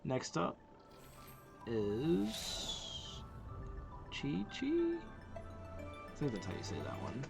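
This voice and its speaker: A man's voice: medium pitch, huge pitch swings, very slow, vocal fry, pauses often, music in the background. A man giving easygoing, chatty reaction commentary as a video creator watching game trailers.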